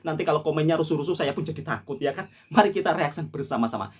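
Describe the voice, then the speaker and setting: Husky, lively reaction host. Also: male voice, fairly high pitch, fast. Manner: earnest, pleading, preachy. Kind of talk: reaction video commentary.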